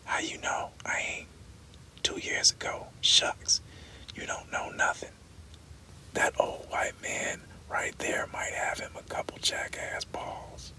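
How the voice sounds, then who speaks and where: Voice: very high voice, completely flat delivery, slow pace, sharp tone, full character voice, poor audio. A reader voicing a brash, bawdy young woman, whispered throughout. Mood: insistent. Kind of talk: whispered audiobook reading.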